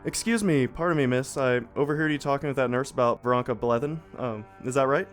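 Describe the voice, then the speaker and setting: A man's voice: mid-range voice, fast, soft background music, lightly acted. A man playing a talkative, eager son in an audio drama, politely persistent with his questions.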